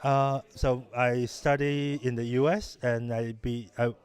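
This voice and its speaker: Halting middle-aged man from Taiwan. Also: medium pitch, flat delivery, clear, resonant tone. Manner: halting, many restarts and fillers. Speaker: interviewed innovation lab director. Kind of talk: conversational interview answers.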